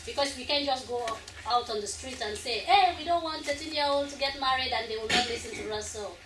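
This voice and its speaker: A woman's voice: sits high, brisk, fairly bright tone. A young woman from Africa, the playwright and an actress, earnestly explaining at length to an audience after a stage play. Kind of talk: post-show question and answer. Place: college theater hall. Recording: echoey hall, far from the mic, noisy.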